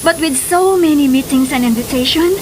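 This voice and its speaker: A woman's voice: sits high, moderate pace, close to an everyday voice. An actress playing an affectionate, middle-aged mother in a radio drama, with gushing, emotive delivery and English phrases mixed in. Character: affectionate, busy mother savoring secret romantic happiness. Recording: noisy, hissy radio drama audio.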